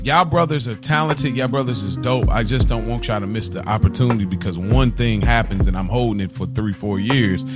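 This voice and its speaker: Calm man giving measured advice. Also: lowish voice, loud music behind the voice. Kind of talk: casual chat, giving advice.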